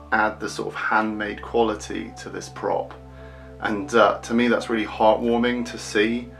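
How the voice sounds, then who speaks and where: Low, gravelly-edged man presenting collectibles. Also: fairly bright tone. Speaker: film prop collector presenting an unboxing. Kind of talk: casual unboxing and close-up commentary.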